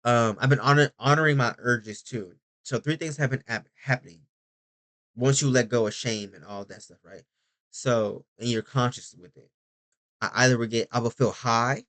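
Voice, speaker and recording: A young man rambling through a casual video diary, chatty and often interrupting himself. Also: medium pitch. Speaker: vlogger.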